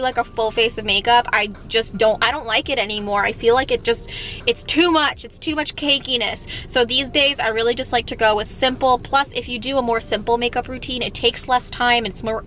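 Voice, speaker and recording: Bright-voiced, higher-pitched woman. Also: fairly even intonation, moderate pace. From Czech Republic, later the United States. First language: Czech. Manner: candid, colloquial, sprinkled with "like". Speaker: vlogger answering viewer questions. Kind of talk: casual chat, Q&A to camera.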